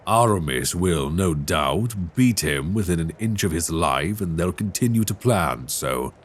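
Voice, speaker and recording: A man playing an ancient dragon king in an intimate ASMR roleplay, tender and wry, with courtly, teasing phrasing and a husky voice. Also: low voice, lively intonation, a little slow, heavily acted. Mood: wry, calm. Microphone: close binaural microphone.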